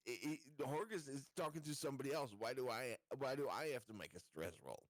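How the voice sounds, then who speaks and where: A man's voice: mid-range voice, a little fast, no room sound, clean studio sound. A man playing a tabletop game, chatting casually and asking questions with stumbling phrasing.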